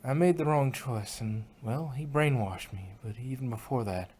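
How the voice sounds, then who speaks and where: A man's voice: medium pitch, normal speed, a little mellow, husky, lightly acted. A man voicing an honest, plain-spoken female farm pony with folksy country phrasing in a fan fiction reading. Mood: grave, confessional.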